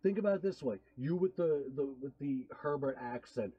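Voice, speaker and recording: A man's voice: fairly high pitch, expressive, brisk, husky, muffled recording. A man co-hosting a casual podcast, chiming in enthusiastically with some swearing. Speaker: co-host.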